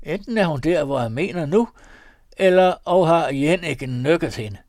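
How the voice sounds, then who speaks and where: A man voicing a tall, rough old robber-woman from the heath who speaks in bold peasant talk. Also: on the high side, animated, darkish tone, dry recording, clean studio sound, voice pushed up, lightly acted. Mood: sly.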